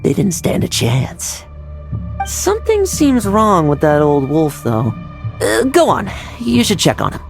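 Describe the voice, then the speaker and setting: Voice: mid-range voice, huge pitch swings, a little slow, vocal fry, faint music behind, heavily acted. A voice actor playing a blunt, cocky young fighter with a breezy, swaggering delivery. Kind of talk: video game voice acting.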